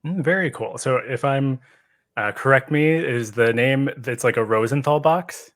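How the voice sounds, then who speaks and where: A man's voice: mid-range voice, normal speed, fairly bright tone. A man hosting a podcast, interviewing a guest in a thoughtful, conversational way with tentative phrasing. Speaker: podcast host.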